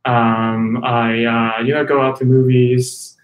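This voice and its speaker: A man from China being interviewed as a company executive, chatting casually and hesitantly with pauses and self-corrections. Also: medium pitch, level tone. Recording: roomy, echoing sound.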